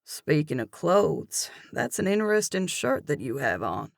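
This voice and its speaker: A woman's voice: sits low, very animated, unhurried, vocal fry, no room sound, clean studio sound, heavily acted. A woman doing a cocky teasing young man. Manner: teasing, taunting.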